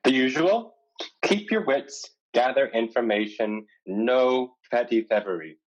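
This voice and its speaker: A commanding male pirate leader played by a man in a stage drama, heard on slightly lo-fi audio. He talks slowly, with a medium pitch. His manner is shrewd, cautioning and authoritative. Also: animated. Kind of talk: staged play reading, dramatic dialogue.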